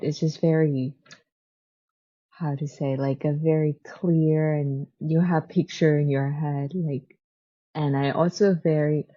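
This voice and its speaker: A woman's voice: low-pitched, highs cut off. A woman who speaks English as a second language, chatting casually and unscripted like a book vlogger, often hesitating and searching for words. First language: not English, speaks it as a second language.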